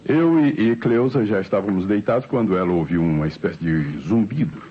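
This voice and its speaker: A man's voice: fairly low pitch, a little fast, breathy edge. An actor playing a defensive married man giving hesitant, emphatic testimony in old radio drama style. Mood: uneasy recounting. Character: defensive married man, neighbour who saw a flying saucer. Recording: muffled, faintly noisy old radio recording.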